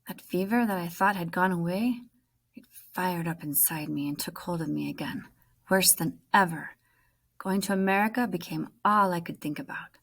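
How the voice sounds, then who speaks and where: A woman teacher reading a children's novel aloud as narration, dramatic and often dropping to a hush as phrases end. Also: fairly low pitch, normal speed, vocal fry.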